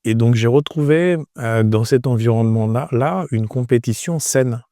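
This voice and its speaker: A man in his forties from Romania, answering interview questions conversationally, with frequent pauses and repeated words. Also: medium pitch, mellow, no echo, studio quality. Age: 40s. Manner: hesitant, pausing often with repeated words. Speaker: entrepreneur, interview guest.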